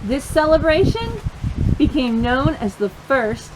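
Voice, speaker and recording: A woman reading a picture book aloud for young children, expressive and encouraging, pausing to put questions to her listeners. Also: high voice, noisy background. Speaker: children's storytime reader.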